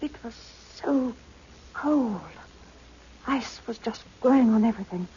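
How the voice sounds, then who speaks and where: A woman's voice: fairly high pitch, measured pace, mellow, natural voice. An actress playing a weak, shaken and distressed young woman in a 1960s radio drama. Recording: dull, noisy old radio recording.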